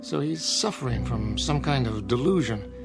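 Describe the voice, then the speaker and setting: An actor playing a firm, rational small-town doctor in a radio drama, measured and authoritative as he explains. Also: medium pitch, expressive, natural voice. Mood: concerned, analytical. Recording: music under the voice.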